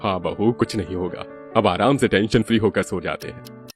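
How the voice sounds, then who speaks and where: A man voicing an easygoing father-in-law in a cartoon story, reassuring and gently dismissive. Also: lowish voice, normal speed, faint music behind, natural voice. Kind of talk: Hindi cartoon story voice acting.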